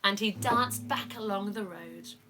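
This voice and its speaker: A woman reading a children's picture book aloud to a young child, expressive, dramatic and encouraging. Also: mid-range voice, unhurried, background noise.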